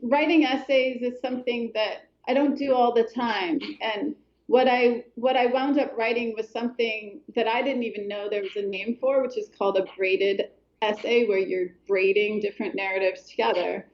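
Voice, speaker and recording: A middle-aged woman from Colorado, speaking as an author guest in a thoughtful, hesitant way, with many pauses and restarts. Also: on the high side, steady tone, roomy sound, muffled recording. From Colorado, USA. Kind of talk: reflective interview talk.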